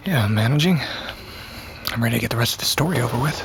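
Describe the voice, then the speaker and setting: A young man playing a guarded, sardonic character, terse and deadpan, in a naturalistic audio drama. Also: mid-range voice, rapid-fire, creaky, background noise.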